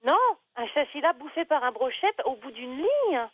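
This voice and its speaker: A woman talking on the telephone as a shelter worker, earnest, halting and reproving. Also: high voice, expressive, no echo. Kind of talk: phone conversation. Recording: muffled narrowband telephone line.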